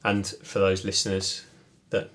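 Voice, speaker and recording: A man's voice: low voice, monotone, unhurried, a bit bright. A man co-hosting a podcast, speaking in a thoughtful, casual way with many fillers and restarts. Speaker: podcast co-host. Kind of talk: casual reflective chat.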